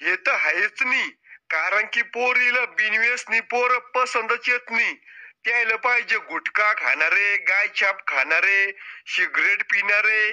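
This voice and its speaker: Squeaky old village gramps in a cartoon, sneaky troublemaker, super bright sharp tone. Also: male voice, measured pace, heavily acted, low-quality recording. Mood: mocking. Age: older. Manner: blunt rustic boasting and threatening. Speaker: game show contestant. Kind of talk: cartoon comedy acting.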